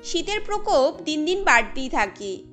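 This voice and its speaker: A woman narrating a cartoon story in a steady storytelling manner. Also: high voice, normal speed, soft background music.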